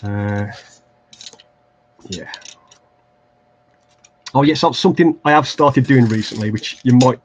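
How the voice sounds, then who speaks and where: A man's voice: medium pitch, slow, long pauses, highs cut off. Rambling man with a Midlands accent. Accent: English Midlands. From English Midlands. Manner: rambling, self-deprecating, many fillers and restarts. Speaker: livestream host building tabletop terrain. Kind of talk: casual livestream chat while crafting. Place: home craft desk, indoors.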